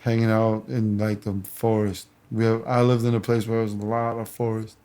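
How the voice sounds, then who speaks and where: A man's voice: on the low side, monotone, moderate pace, dark tone, smooth. A man, a professional boxer raised in Germany, talking in a casual interview with simple phrasing and frequent restarts.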